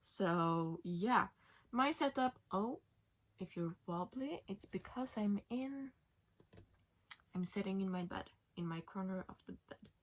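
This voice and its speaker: A woman's voice: mid-range voice, animated, slow. A young woman in her twenties from Norway, speaking English as a second language in a casual, chatty way with many fillers while sitting in her bed at home. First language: Norwegian. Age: 20s. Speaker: knitting podcast host. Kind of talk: casual chat.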